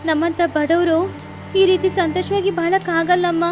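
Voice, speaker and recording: A woman's voice: very high-pitched, flat delivery, a little slow, voice pushed up. A woman voicing a loving, easily frightened little sparrow daughter in a children's bedtime story, speaking with childlike, emotive pleading. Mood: sad, hurt. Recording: music behind the voice.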